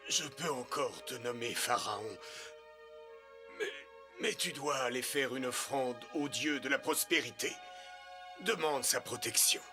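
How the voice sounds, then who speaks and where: An actor playing an old, dying Egyptian pharaoh, a stern and disappointed father, in a dubbed animated film. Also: mid-range voice, measured pace, music in the background, lightly acted. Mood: solemn.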